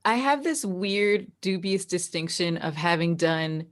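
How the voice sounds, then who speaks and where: A woman's voice: lowish voice, animated, measured pace. An American woman, a guest in a casual interview, telling personal stories in a very informal, emphatic way. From New Jersey, United States. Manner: informal, peppered with "like" and emphatic repeats. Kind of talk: casual chat, personal storytelling.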